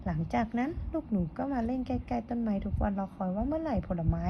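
Woman, storyteller, reading a picture book to a child, slow and clear, playful asides, fairly high voice, lo-fi sound. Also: animated. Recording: dull lo-fi with faint noise.

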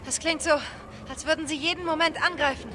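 A woman's voice: sits high, fairly even intonation, quick pace, natural voice. An actress playing a tough, alert young woman fighter in a video game, blunt and urgent. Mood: startled, tense. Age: young adult. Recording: loud gunfire and battle noise.

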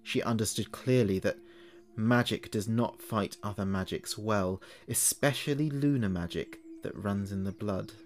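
Slow, dramatic male horror narrator. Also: on the low side. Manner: measured, dramatic. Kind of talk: scripted horror story narration.

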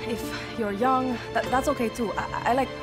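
An actress playing a young guerrilla leader in a video game, with a plain-spoken, halting, earnest manner. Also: female voice, on the high side, fairly even intonation, close to an everyday voice. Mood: earnest, awkward. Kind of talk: cinematic video game acting. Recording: loud music underneath.

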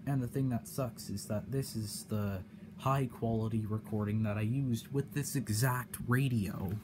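A man with a slightly low voice talks very slowly in a casual explanatory vlog style. He is halting and tends to repeat his phrases as he goes. Noticeable noise sits behind him in a home recording. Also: steady tone. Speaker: cassette hobbyist demonstrating a problem. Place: indoor room at home. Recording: clear background noise.

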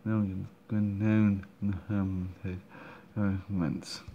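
A man commenting on a game he is playing, halting and full of fillers and restarts, recorded at home. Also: sits low, level tone. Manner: hesitant, many fillers and restarts. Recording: dull muffled recording, faint room noise.

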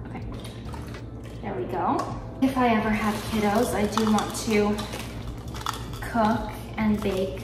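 A woman's voice: medium pitch, noisy background. A young woman in her twenties chatting casually and poking fun at herself while she bakes in her home kitchen, picked up by a camera set a few feet away. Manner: chatty, self-deprecating, talks while cooking. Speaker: lifestyle vlogger. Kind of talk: casual baking vlog chat. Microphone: camera on the counter a few feet away. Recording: roomy kitchen sound, mic at a distance. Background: background music.

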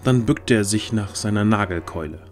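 A man's voice: lowish voice, normal speed, faint music behind. A man reading scripted, dramatic fantasy narration as the narrator of an audio drama.

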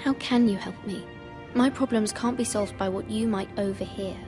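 A woman's voice: medium pitch, normal speed, over music, natural voice. An actress playing an anxious, dutiful young lady-in-waiting in a fantasy video game, formal and apologetic in manner. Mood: guarded, anxious. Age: young adult. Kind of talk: dramatic video game acting.

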